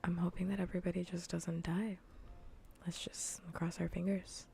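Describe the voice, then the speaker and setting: A woman livestreamer chatting casually in ASMR style while gaming, soft, breathy and often whispering, with a playfully scolding manner. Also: lowish voice, flat delivery, moderate pace, slight background noise.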